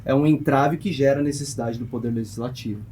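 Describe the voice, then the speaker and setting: A man's voice: medium pitch, fast talker, dark tone, slight background noise. A Brazilian man speaking as an expert guest on a panel, explaining in formal wording with some hesitation.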